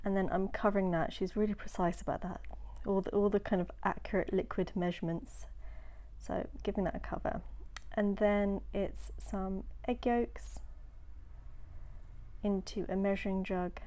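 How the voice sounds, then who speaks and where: Woman, nasal with a cold, mellow voice, chatty baking voice-over, soft whispered asides. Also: mid-range voice, steady tone, normal speed. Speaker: home baker.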